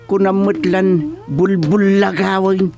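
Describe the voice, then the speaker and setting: Village chief, comedic radio drama. Unhurried, exclaiming, fed up, over soft music. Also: male voice, high-pitched, steady tone, vocal fry, heavily acted. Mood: exasperated. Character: exasperated male village chairman, a father.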